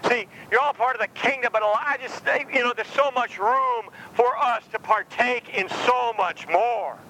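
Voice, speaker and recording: A man's voice: very high voice, a little slow, pushed and bright. A man preaching an informal, earnest sermon, a little scratchy-voiced from jet lag. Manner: earnest, informal, addresses listeners as brother. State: jet-lagged, slightly scratchy voice. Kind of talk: conversational sermon.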